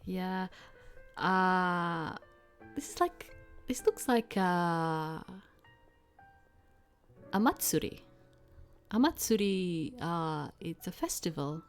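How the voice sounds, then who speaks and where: Woman, lower-pitched voice, casual let's play, hesitant read-aloud and commentary, faint music behind. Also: lively intonation, drawn-out words, long pauses. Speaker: let's player reading a visual novel aloud. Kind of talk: casual gameplay commentary mixed with read-aloud story text. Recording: background music under the voice. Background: game music.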